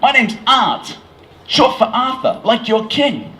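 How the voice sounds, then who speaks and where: A man voicing a large, friendly, excitable American man in a live story reading, with an American accent and a gushing manner. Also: very high voice, slow, slight background noise, pitched above the performer's own voice, heavily acted. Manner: gushing, loud, easily awed.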